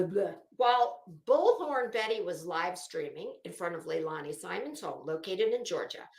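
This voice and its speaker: A woman reading aloud with mocking commentary, sarcastic and ranting, swearing freely and stopping for halting, drawn-out emphasis. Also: mid-range voice. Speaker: commentary vlogger. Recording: roomy, echoing room sound.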